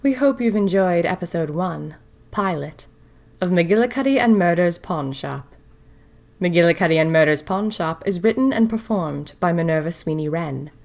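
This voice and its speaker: A woman's voice: on the low side, expressive, moderate pace, vocal fry, darkish tone. A woman reading scripted closing credits as the writer and performer of a fiction podcast.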